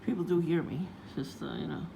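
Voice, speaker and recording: A woman vlogging casually about her day in a low voice, meandering with many fillers and restarts. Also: fast talker, creaky. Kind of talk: casual rambling vlog. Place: outdoors, windy. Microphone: phone. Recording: noisy phone recording.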